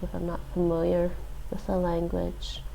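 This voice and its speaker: A woman streamer thinking aloud as she works through a lesson, hesitant, with many fillers and restarts, relaxed and self-deprecating. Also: lowish voice, moderate pace, vocal fry, a little hiss or hum, low-quality recording. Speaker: live streamer. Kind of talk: thinking aloud while doing a language app.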